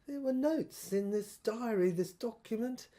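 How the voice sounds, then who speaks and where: A woman playing a troubled infantry soldier in an audio drama monologue, speaking in halting, deliberate phrases with a husky voice. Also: on the high side, dark tone, natural voice. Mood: grave, revelatory. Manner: halting, broken phrases with deliberate emphasis and repetition. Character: troubled infantry soldier piecing together a secret government document.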